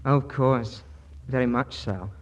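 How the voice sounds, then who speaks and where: An actor playing a suave, formal English gentleman with a British accent in a 1940s radio comedy. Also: medium pitch, slow, natural voice. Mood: smitten. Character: suave, proper English actor courting a woman. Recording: faint noise, old 1940s radio broadcast.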